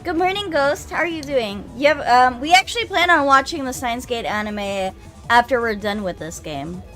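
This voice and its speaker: A woman's voice: fairly high pitch, expressive, moderate pace, fairly bright tone, faint music behind. A woman hosting a livestream readthrough in casual chat, with some phlegm in her throat. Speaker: streamer hosting a visual novel readthrough.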